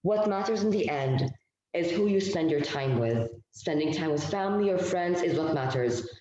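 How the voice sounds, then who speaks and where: A teenage boy from Bahrain recites a prepared speech over a video call. His pitch rises and falls a lot. The sound is dull and echoes heavily. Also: lively intonation, normal speed, warm tone, a little hiss or hum. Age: teens. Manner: recites a memorized speech, third-person self-story. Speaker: club president giving a speech.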